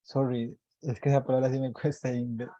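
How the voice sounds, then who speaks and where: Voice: mid-range voice, level tone, fairly quick, dark tone, no echo, muffled recording. An adult student in an online English lesson, curious and eager, asking questions and repeating words to practise.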